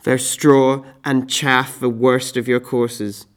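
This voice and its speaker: A man playing an exasperated, scornful husband in a classical stage tragedy, scolding forcefully in a theatrical monologue. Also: medium pitch, level tone, slow, natural voice. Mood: dismissive, angry. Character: exasperated, scornful husband Jason berating Medea.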